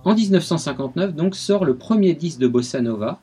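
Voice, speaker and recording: A man's voice: a little high, lively intonation, fairly quick, dark tone, breathy edge. A man giving a radio music history segment, telling the story with enthusiasm.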